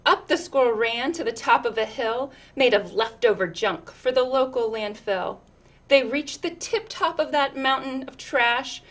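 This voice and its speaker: Slow-paced, higher-voiced woman reading to children. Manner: animated, engaging listeners with questions and asides. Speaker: storyteller reading a picture book to children. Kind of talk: expressive children's read-aloud with chatty asides.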